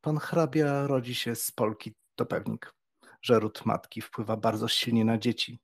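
Man acting a slow, gravelly old doctor. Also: medium pitch. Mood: calm, blunt. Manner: deliberate, unruffled, pedantic. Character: phlegmatic, blunt old German male doctor. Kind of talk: dramatized audiobook reading.

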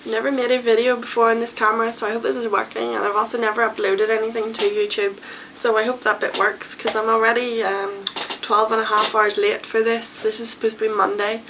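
A young woman of eighteen with a Northern Irish accent talks casually and self-deprecatingly into a webcam in her small bedroom. Her voice has a gravelly fry to it. The built-in mic picks up clear hiss and background noise. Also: on the high side, level tone, a little fast. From Northern Ireland. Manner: chatty, self-deprecating, many ums. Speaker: vlogger. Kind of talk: casual vlog chat. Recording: noisy home webcam recording.

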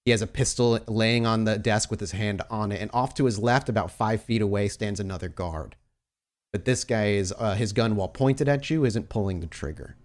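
A man's voice: on the low side, brisk. A man improvising narration as the storyteller of a tabletop roleplaying game.

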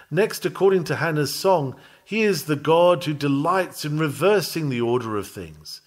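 A man reading a historical sermon aloud as a preacher would, in an earnest, formal, rhetorical manner. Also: mid-range voice. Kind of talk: sermon read aloud, solemn measured preaching.

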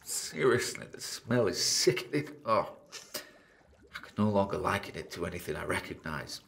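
An actor playing an uneasy paranormal investigator who haltingly narrates his tape log in a horror audio drama, with a gravelly voice. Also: low-pitched, sing-song, a bit bright, exaggerated voice. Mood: disgusted, uneasy. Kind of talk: horror audio drama, first-person found-tape monologue.

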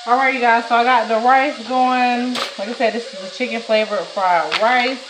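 A woman's voice: a little high, steady tone, normal speed. A woman in her thirties chatting casually to viewers while she cooks in her home kitchen, recorded by a camera on the counter. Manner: chatty, talks to viewers as "y'all", scolds kids. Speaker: vlogger cooking at home. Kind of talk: casual cooking vlog chat. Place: small home kitchen at night. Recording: echoey kitchen with faint noise.

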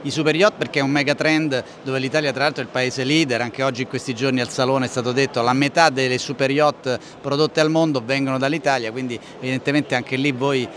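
Male interviewer, mid pitch, informal and chatty, slight fair-stand background noise. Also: steady tone, fast talker, hardly any pauses, a bit bright. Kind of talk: conversational interviewing. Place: open trade-show stand. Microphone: shared handheld microphone. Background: faint ambient noise.

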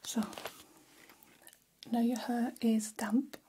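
A woman playing a gossipy, attentive female vampire hairstylist in a gentle, unhurried, breathy whisper for an ASMR roleplay. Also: on the high side, flat delivery, slight background noise. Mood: soft, attentive. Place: small decorated room, indoors.

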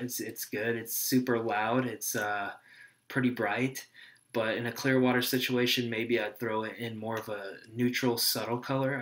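Relaxed young man rambling about tackle. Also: medium pitch. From Texas. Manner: relaxed, rambling, lots of fillers. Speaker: fishing guide. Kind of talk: casual tutorial to camera. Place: small room indoors. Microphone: webcam at desk.

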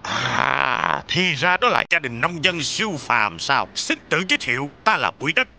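A man's voice: mid-range voice, big pitch swings, moderate pace, creaky, bright, forceful tone, slight background noise, full character voice. A man voicing a boastful, vain and theatrical villain in a children's cartoon, with a pompous, exaggerated delivery. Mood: grandiose. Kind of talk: animated cartoon dubbing.

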